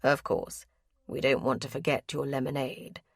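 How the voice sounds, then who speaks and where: A woman's voice: a bass voice, flat delivery, moderate pace, gravelly, slightly rough, dry recording, pitched below the performer's own voice, heavily acted. A woman voicing a fussy older aristocratic aunt who is busy matchmaking, speaking in a genteel, coaxing way. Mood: teasing.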